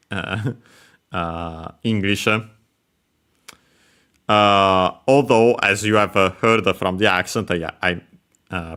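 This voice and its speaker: A man explaining Japanese grammar, pausing often with filler sounds as he works through each word. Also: low-pitched, pushed and bright. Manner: hesitant, many filler sounds, careful explanation. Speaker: Japanese language teacher. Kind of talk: explaining grammar over gameplay.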